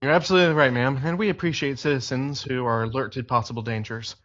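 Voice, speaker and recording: A man's voice: mid-range voice, steady tone, fast talker, fairly bright tone, muffled recording, lightly acted. A man playing a wisecracking detective partner. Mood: easygoing. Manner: easygoing, joking.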